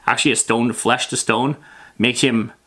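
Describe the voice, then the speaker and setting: A man's voice: medium pitch, steady tone, moderate pace, bright, forceful tone. A man hosting a casual, loosely improvised explainer on fantasy gaming, talking in a rambling, plain-spoken, matter-of-fact way. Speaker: host of a tabletop roleplaying lore show.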